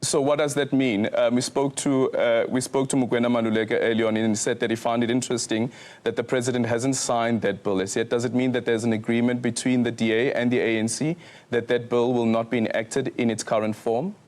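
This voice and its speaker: A man's voice: medium pitch, flat delivery, brisk, a little mellow. A man interviewing a guest on radio, asking his questions in a hesitant way with many restarts.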